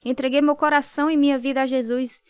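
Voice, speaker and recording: A woman's voice: sits high, steady tone, fast talker, no room sound, natural voice. An actress with a Brazilian accent playing a devout, earnest young convert telling her story in a radio drama. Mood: earnest, firm. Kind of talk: radio drama narration and dialogue.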